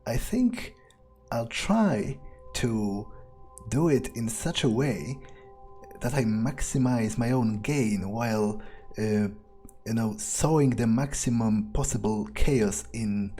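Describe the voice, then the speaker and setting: An unhurried, mellow voice muses in character as a cynical, scheming vampire during a game playthrough. The delivery is unscripted and halting, with casual profanity, over faint music. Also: medium pitch. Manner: hesitant, musing, casually profane. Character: scheming, amoral vampire musing on own plans. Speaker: player narrating a roleplay game playthrough in character. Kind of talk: unscripted in-character monologue, thinking aloud.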